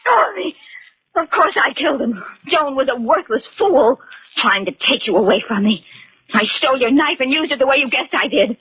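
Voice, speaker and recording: An actress playing a scheming, desperate young murderess in a melodramatic, breathless 1940s radio mystery style. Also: female voice, sits high, lively intonation, moderate pace, breathy edge, dry recording, exaggerated voice. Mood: defiant, bitter. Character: scheming, desperate young murderess in hysterics, defiant when cornered. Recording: muffled 1940s radio recording.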